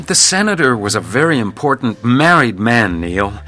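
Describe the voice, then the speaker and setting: An actor playing a composed, weary hotel detective in a radio crime drama, speaking in a measured, understated way. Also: male voice, medium pitch, very animated, bright, forceful tone, soft background music. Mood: concerned. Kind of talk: radio crime drama, noir first-person narration and dialogue.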